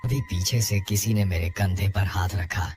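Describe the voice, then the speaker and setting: A man's voice: deep voice, fairly even intonation, normal speed, darkish tone, faint music behind. A man narrating a scripted horror story in the first person.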